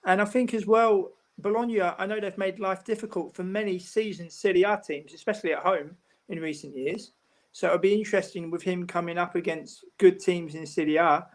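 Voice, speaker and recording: A man speaking as a guest pundit in a casual football discussion, measured and explanatory, often repeating words as he thinks. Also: high-pitched, steady tone.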